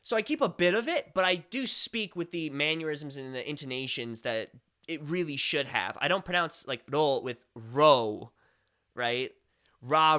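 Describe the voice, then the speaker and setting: A man's voice: on the high side, pushed and bright. A young American man hosting a live stream at home, chatting in a rambling way with many fillers and restarts. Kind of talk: casual chat.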